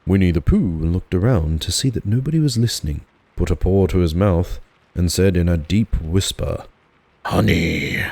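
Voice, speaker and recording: A man's voice: deep voice, normal speed, dark tone, dry recording. A man narrating a children's storybook as a relaxing dramatised audiobook.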